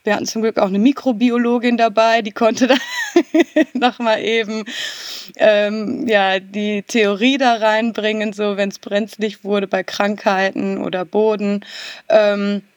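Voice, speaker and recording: A woman in her thirties answers interview questions over a video call. She rambles in a relaxed way with plenty of "ähm" and easy laughter. Her voice is mid-pitched and sounds dry and close. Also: unhurried, no room sound. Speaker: guest, garden designer.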